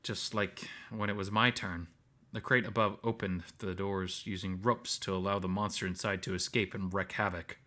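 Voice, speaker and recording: Low, bright-voiced male story narrator. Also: steady tone, moderate pace. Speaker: narrator reading a visual novel aloud. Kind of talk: scripted first-person story narration.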